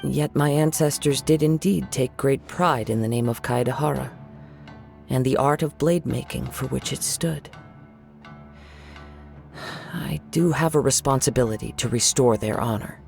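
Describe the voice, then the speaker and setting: A man playing a calm, courteous young wandering swordsman in a video game, gentle and reflective in formal wording. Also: medium pitch, unhurried, vocal fry, soft background music. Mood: reflective, reserved.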